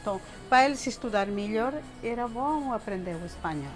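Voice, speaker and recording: An older woman from Bolivia with a Bolivian accent, talking haltingly in an interview, often repeating words and adding tag questions. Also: fairly high pitch, lively intonation, soft background music. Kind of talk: casual interview talk.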